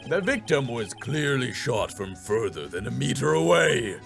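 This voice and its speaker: A man's voice: medium pitch, very animated, measured pace, vocal fry, music in the background. A man voicing a haughty, ruthless prosecutor in a video game, condescending and theatrical in his emphasis. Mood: smug.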